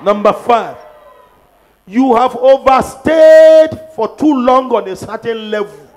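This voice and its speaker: A middle-aged man with a Nigerian accent leading prophetic prayers, shouting declarations into a handheld microphone in a large church. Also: very high voice, expressive, slow pace. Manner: fervent, shouting declarations, repeating lines for the crowd. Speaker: pastor. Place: large church auditorium, on a stage at a pulpit.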